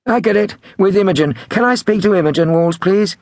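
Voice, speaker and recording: A man voicing a cheeky young male prank caller from a storybook, with a Liverpool accent and mock-innocent, quick-fire questions. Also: high-pitched, darkish tone, no echo, voice pushed up, close to an everyday voice. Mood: mischievous. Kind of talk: acted storybook dialogue.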